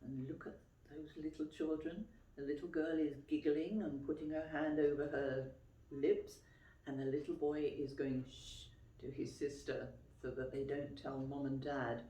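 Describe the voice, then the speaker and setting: A woman reading a picture book aloud to young children as a teacher would, expressively and clearly phrased, pausing to explain and ask questions. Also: low-pitched, flat delivery, mellow. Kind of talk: children's storytime read-aloud with questions to listeners. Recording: roomy, echoey, distant microphone.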